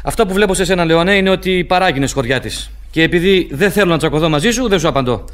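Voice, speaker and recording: Brash young law student, high voice, insistent, needling, radio drama. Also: male voice, lively intonation. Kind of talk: Greek radio theatre acting.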